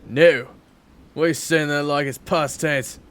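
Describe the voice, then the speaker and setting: A man playing a gruff, hostile, distrustful working man in an audio roleplay, with a curt and blunt delivery. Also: fairly high pitch, steady tone, a little slow, a bit bright, voice pushed up. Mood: angry. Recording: background music.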